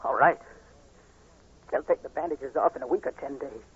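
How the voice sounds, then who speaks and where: Casting: anguished young patient, intense radio-play delivery, medium pitch, muffled vintage sound. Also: male voice, normal speed, breathy edge. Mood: accusing. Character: anguished young male eye patient, suspicious and accusing. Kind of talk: 1940s radio acting, first-person narration and dialogue. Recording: noisy, muffled 1940s radio recording.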